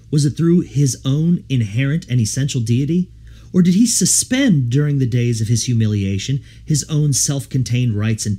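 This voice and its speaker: A man reads a devotional audiobook in a mellow, slightly husky voice of medium pitch. His delivery is measured and solemn, with lively rises and falls that give the scripted text a rhetorical shape. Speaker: audiobook reader. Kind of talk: scripted narration of a devotional book.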